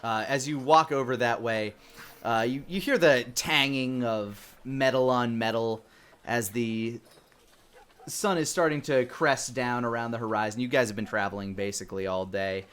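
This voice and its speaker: A man running a live tabletop game as game master, narrating scenes descriptively with halting delivery. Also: medium pitch, a bit bright, clean tone.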